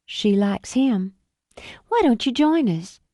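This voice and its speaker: A woman's voice: a little high, lively intonation, dark tone, no echo, studio quality, pitched above the performer's own voice. A woman narrator voicing a friendly, amused young woman with a slight Southern drawl. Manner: smooth, friendly, unhurried.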